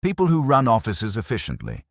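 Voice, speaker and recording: A man's voice: medium pitch, wildly swooping pitch, fairly quick, dry recording, clean studio sound, pitched above the performer's own voice. A man playing a mild, shrewd police inspector in an audiobook, polite and understated as he questions. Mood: measured caution.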